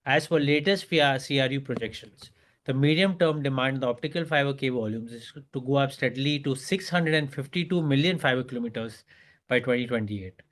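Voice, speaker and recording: Male corporate presenter, Indian accent, medium pitch, formal scripted earnings-call delivery. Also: brisk. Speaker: company executive presenter.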